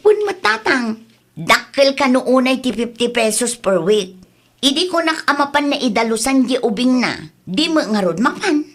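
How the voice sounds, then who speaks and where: A woman's voice: high voice, very animated, normal speed, exaggerated voice. A woman with a Filipino accent playing a fed-up, bossy, nagging village woman in a comedy skit. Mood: exasperated griping. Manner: nagging, scolding. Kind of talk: Ilocano comedy skit acting.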